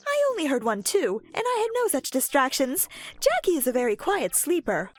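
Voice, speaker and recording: Audio drama role: actress as quirky young analyst, high voice, wildly varied intonation, pedantic. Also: female voice, wildly swooping pitch, moderate pace, no room sound, faint music behind. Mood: matter-of-fact. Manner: pedantic, precise. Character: quirky, analytical, matter-of-fact young woman. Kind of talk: anime-style audio drama.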